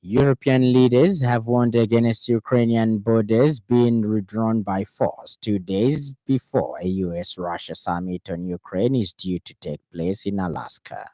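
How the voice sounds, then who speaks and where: A man with a Zambian accent reading a scripted radio news bulletin in a formal, measured announcer's delivery. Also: fairly low pitch, fairly even intonation, mellow, no echo. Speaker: radio newsreader. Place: radio studio.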